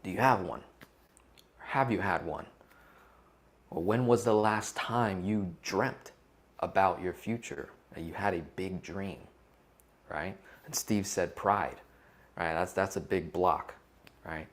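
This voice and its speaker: A man's voice: deep voice, slow, long pauses. A man co-hosting a casual, interactive coaching talk online, putting questions to his listeners and often checking in with them. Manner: conversational, asks questions and checks in with "right?". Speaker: co-host leading an interactive online seminar. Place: live video call session.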